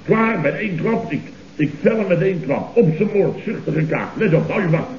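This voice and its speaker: An actor playing a panicky, self-important boss in a Dutch radio comedy, stammering and repeating words emphatically, with a Netherlands accent. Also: male voice, high voice, lively intonation, brisk. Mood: blustering. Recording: dull, noisy, roomy old radio recording.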